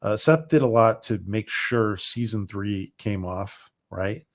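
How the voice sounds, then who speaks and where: A man hosting a casual livestream, rambling with many ums and restarts as his voice starts to go hoarse. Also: fairly low pitch, takes it slowly. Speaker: livestream host. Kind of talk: casual livestream chat reading viewer comments.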